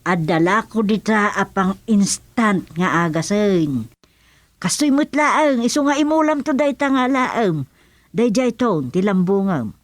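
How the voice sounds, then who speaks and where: Woman acting slow-talking, bossy village auntie. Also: mid-range voice, lively intonation, no echo, close to an everyday voice. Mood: helpful, instructing. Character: older village auntie, bossy, chatty, helpful. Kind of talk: Ilocano radio comedy drama acting.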